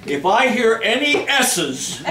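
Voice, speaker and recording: A man's voice: sits high, big pitch swings, slow, a crowd in the background. A man addressing a live audience in a playful, mock-stern way as he leads a holiday sing-along. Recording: echoey room from far off, audience noise.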